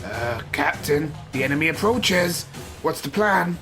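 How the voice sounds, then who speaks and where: A woman playing a bold, gleeful fighting pirate lass with a creaky voice in an adventure drama, over music. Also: low-pitched, animated, a little slow. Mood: wry, ready. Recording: loud music behind the voice.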